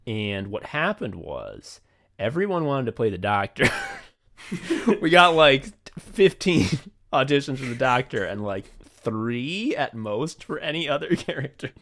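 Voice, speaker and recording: A man's voice: mid-range voice, big pitch swings, unhurried, a bit bright. A man hosting a podcast in casual chat, swearing freely and joking at his own expense. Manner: profane, joking and self-deprecating. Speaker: podcast host and comedy writer.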